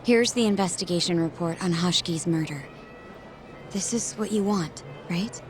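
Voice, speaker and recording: An actress playing an urgent, secretive young woman in disguise in a video game, insistent and often hushed. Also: on the low side, moderate pace, natural voice. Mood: exasperated, businesslike. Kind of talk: English game dub cutscene acting. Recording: music behind the dialogue.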